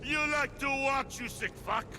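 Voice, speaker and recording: An actor playing a brutal, sadistic, foul-mouthed cult bully in a video game, menacing and domineering. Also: male voice, very high-pitched, moderate pace, noisy background. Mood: furious. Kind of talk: dramatic video game acting.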